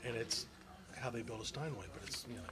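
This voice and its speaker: A man's voice: medium pitch, fast, a loud crowd. A man in casual conversation as a member at a club meeting. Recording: very noisy live meeting room. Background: loud room noise.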